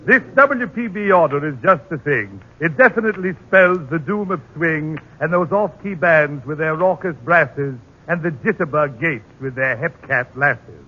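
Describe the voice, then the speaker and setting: Pompous eccentric radio poet, dull old broadcast. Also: male voice, fairly high pitch, lively intonation, moderate pace, hardly any pauses. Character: eccentric comic street poet reciting silly rhymes. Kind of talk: 1940s radio comedy acting.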